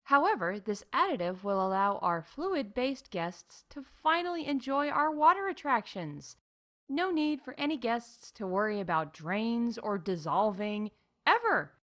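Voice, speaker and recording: Animated theme park announcer. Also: female voice, a little high, a little slow. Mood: earnest, deadpan. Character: upbeat female theme park announcer in space. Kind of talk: scripted comedic announcements.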